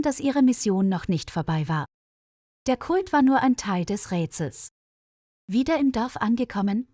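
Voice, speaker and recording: A woman's voice: mid-range voice, a little mellow, dry recording. A woman reads a scripted mystery tale as a storyteller. The recording is very dry, with no room sound. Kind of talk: scripted narration of a mystery story for German learners.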